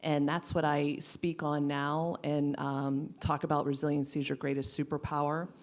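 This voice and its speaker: Flat low-voiced woman speaker. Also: normal speed. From western Pennsylvania, US. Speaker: panelist, speaker on resilience. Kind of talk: panel discussion.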